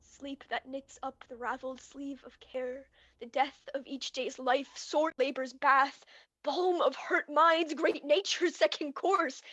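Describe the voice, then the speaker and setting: Young woman acting haunted murderer lord, high voice, slow dramatic verse, student Shakespeare, dry close sound. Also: fairly even intonation, bright, forceful tone, no room sound, full character voice. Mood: haunted, horrified. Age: young adult. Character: guilt-stricken Scottish lord turned murderer, haunted and fearful. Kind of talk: amateur student Shakespeare performance, recorded remotely.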